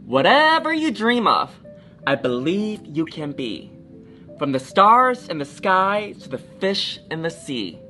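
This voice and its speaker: A woman's voice: on the low side, sing-song, takes it slowly, pushed and bright, soft background music, pitched above the performer's own voice, exaggerated voice. A woman voicing a loving, reassuring mother in a children's storybook, tender and singsong. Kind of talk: storybook character voice.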